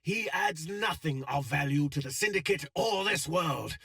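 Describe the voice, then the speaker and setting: A cold, world-weary male schemer from a video game, cryptic and eloquent. He takes his time over each phrase, with theatrical swings in pitch. The recording is close and dry, with no room around the voice. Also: expressive, vocal fry, sharp tone, no echo, heavily acted. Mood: contemptuous. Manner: measured, eloquent, deliberate phrasing. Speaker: video game character voice actor. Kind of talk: scripted game dialogue, theatrical.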